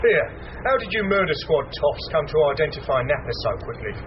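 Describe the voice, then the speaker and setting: A man playing a bullying, highly strung crooked policeman, curt and threatening, in a radio drama. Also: sing-song, a little fast. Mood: edgy. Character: edgy, bullying male crooked policeman holding hostages at gunpoint. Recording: muffled, echoey, noisy radio drama.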